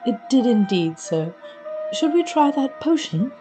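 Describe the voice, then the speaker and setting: A woman's voice: on the high side, very animated, unhurried, music in the background. A woman playing a reserved, dignified young apprentice wizard in a cozy fantasy radio story, speaking politely and succinctly. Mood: matter-of-fact.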